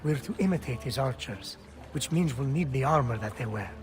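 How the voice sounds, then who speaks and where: A man playing a brisk, streetwise thief in a video game. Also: mid-range voice, expressive, music in the background, close to an everyday voice. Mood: instructive. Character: brisk, streetwise Italian thief giving orders. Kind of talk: video game cutscene acting.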